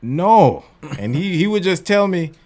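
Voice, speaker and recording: A man with a Jamaican accent, a musician turned minister, telling stories in a relaxed interview with many fillers and restarts. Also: sits high, unhurried. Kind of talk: candid conversational interview.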